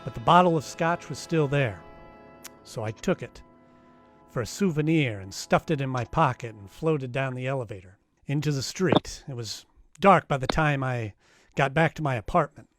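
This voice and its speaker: An actor playing a tough, wry private detective in a 1940s-style radio drama, narrating and trading lines. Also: male voice, medium pitch, animated, moderate pace, a bit bright, soft background music, close to an everyday voice. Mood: wry narration.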